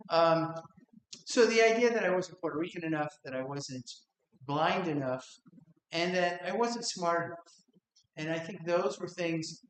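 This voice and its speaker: A middle-aged man with a voice pitched a little high talks in a conversational way, chuckling through his stories. He speaks on a panel in a library room, and the room's echo carries his voice. Faint noise runs underneath. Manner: conversational, laughs while telling stories. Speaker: memoir author on a panel. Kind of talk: casual panel storytelling.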